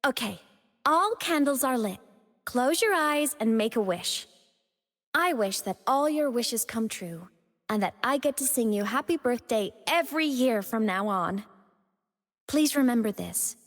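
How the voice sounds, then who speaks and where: A young woman playing a gentle, playful female digital ghost in a video game, with a tender, earnest and affectionate manner. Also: a little high, expressive, unhurried, in a large hall. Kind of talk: video game character voice lines.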